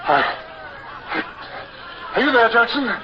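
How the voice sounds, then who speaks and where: Young British officer, high strained voice, anxious, commanding, radio drama, muffled noisy old recording. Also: male voice, big pitch swings, takes it slowly, a crowd in the background, exaggerated voice.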